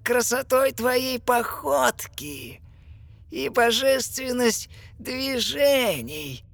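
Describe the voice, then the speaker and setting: A sinister sea witch who draws out every word very slowly. She sneers and gloats in theatrical verse, as in a fairy-tale audio play. The sound is dry and close. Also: fairly high pitch, sing-song, creaky, no room sound, heavily acted. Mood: menacing, gloating. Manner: sneering, drawn-out, theatrical delivery with bursts of shouting. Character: mocking sinister sea witch, gloating over her victim.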